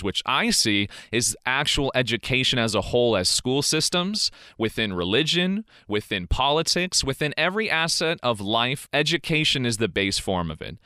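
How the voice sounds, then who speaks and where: A man's voice: medium pitch, sharp tone, dry recording, clean studio sound. A young man hosting his own show, delivering an earnest, preachy monologue and checking in with the listener through rhetorical questions. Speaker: podcast host. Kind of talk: monologue on education and life.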